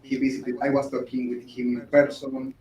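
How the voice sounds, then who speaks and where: A man from Peru, a student ambassador answering panel questions in halting English that he often corrects. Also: mid-range voice, monotone, crowd noise. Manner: halting, self-correcting non-native English. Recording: echoey livestream audio.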